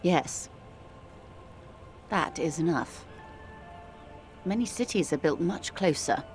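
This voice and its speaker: A woman playing a worldly, composed older woman in a video game, speaking eloquently in a measured, gently condescending manner. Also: lowish voice, animated. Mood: grave, measured. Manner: measured, eloquent, calls the listener dear and darling. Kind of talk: dramatic video game dialogue. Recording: music playing under the voice.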